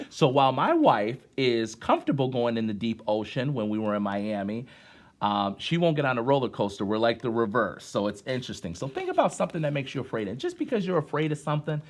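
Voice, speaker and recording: American man leading children's story time. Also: mid-range voice. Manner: conversational, addresses children with questions and encouragement. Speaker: guest storyteller, former teacher. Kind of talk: children's story time, reading a poem aloud with asides.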